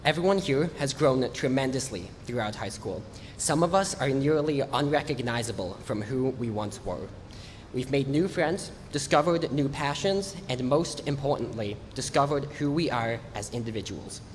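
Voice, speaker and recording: A teenage boy with a congested voice, reading a prepared graduation speech in an earnest, measured way from a stage microphone. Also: mid-range voice, lively intonation. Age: teens. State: congested from allergies. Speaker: graduating student speaker. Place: large hall or gym, on stage. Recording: echoey hall with faint noise.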